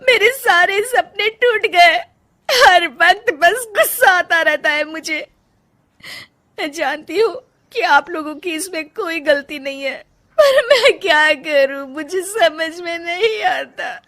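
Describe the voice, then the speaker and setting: Lady doing a sad young bahu in a cartoon story, emotional and plaintive, slow and really high bright voice. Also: animated, exaggerated voice. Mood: tearful, despairing. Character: unhappy young daughter-in-law with crushed painting dreams. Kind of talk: animated moral story dialogue.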